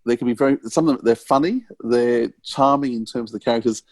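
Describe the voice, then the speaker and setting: A man hosting a podcast, chatting casually and rather haltingly as he rephrases his thoughts. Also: medium pitch, dry recording. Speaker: podcast host. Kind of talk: casual interview chat.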